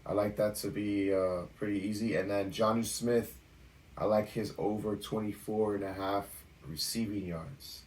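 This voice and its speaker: A fairly low-voiced man hosts a casual football podcast. He runs through stats and matchups in an easygoing, loose way. His voice sits a bit back from the mic. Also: normal speed, recorded from a distance, poor audio. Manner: relaxed, talks through stats with fillers. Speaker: podcast co-host. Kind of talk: casual sports podcast chat.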